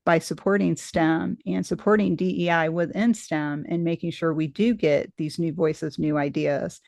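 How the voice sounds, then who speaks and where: Host, middle-aged woman, gravelly low edge to the voice, dry close sound, musing and digressive. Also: fairly even intonation, normal speed, darkish tone, dry recording. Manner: thoughtful and rambling, many restarts. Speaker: podcast host interviewing a guest. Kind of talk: casual conversational interview.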